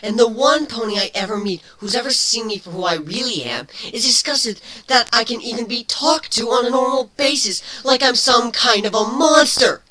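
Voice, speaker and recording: Performer voicing a feisty, high-pitched female changeling. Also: expressive, moderate pace, hardly any pauses, a crowd in the background, close to an everyday voice, low-quality recording. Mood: furious, hurt. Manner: snarling, sharp-tongued, dramatic outbursts. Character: feisty female changeling, defensive, teasing, hiding hurt. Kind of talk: dramatic fan fiction reading.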